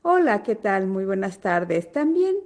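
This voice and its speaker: An Argentine woman hosting a live online webinar over a video call, greeting attendees one by one in an unhurried way. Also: mid-range voice, sing-song, darkish tone. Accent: Argentine Spanish. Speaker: webinar host.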